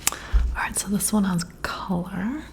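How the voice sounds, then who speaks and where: A woman performs a flirty boss in a close-miked ASMR roleplay. Her voice is a breathy whisper with a crackle of fry at the edges. She takes her time, leaving drawn-out pauses and keeping an intimate, intrigued manner. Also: mid-range voice. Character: flirty, intrigued female boss giving a job interview. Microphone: close-up ASMR microphone.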